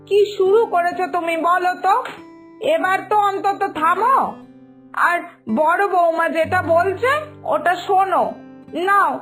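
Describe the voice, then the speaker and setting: A woman voicing an older, caring but exasperated mother-in-law in an animated moral story. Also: very high voice. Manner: exasperated, firm, motherly urging.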